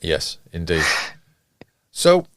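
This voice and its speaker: A man with a fairly low voice chats casually as a podcast host. He rambles and hesitates his way through his thoughts, recorded close and dry. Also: big pitch swings, extremely slow, dry recording.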